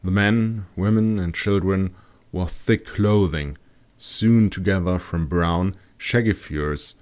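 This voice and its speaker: A man's voice: deep voice, slow, a little mellow. A man reading his own fantasy audiobook as a scripted narration.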